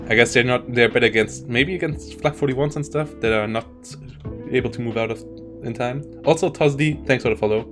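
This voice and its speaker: A man commentating a live strategy game tournament, talking in loose, self-interrupting bursts. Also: mid-range voice. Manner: rambling, self-interrupting, frequent restarts. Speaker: esports caster.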